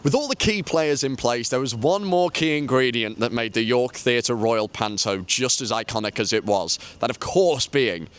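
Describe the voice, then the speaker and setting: A man narrating a documentary in a measured, scripted presenter style. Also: medium pitch, animated, a bit bright, smooth.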